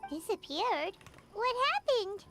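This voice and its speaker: A woman voicing a tiny, excitable, curious childlike companion creature in a video game, squeaky and wide-eyed. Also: slow, soft background music, exaggerated voice. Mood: surprised, curious.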